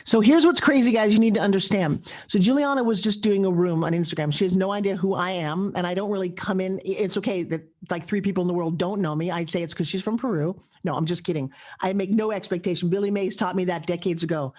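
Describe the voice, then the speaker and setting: A woman's voice: fairly low pitch, fast talker, darkish tone. A woman hosting a live audio chat room, speaking effusively and gushing over her guests. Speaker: host.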